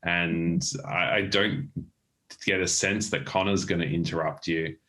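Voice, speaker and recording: A man's voice: low voice, fairly even intonation, measured pace, pushed and bright. A man hosting a casual interview over a video call, rambling and joking, often restarting his sentences. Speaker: host.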